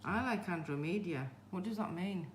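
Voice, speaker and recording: Live craft host: Greek native speaker, Yorkshire accent, chatty with viewers, roomy echo, faint hum. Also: female voice, fairly low pitch, expressive, normal speed. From Greece. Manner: chatty, reads out and greets viewers. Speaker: livestream host. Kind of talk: casual live craft stream chat.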